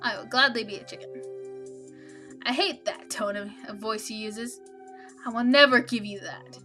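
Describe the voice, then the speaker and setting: Woman voicing a sardonic, anxious young man, high-pitched. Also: normal speed, over music, close to an everyday voice. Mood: defiant. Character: sardonic young male protagonist, confused and quick to worry.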